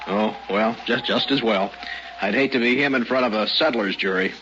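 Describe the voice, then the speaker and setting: An actor playing a gruff, contemptuous military officer in an old-time radio drama, brusque and commanding. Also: male voice, lowish voice, normal speed, close to an everyday voice. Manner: brusque, barking orders. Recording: dull lo-fi radio recording, noisy.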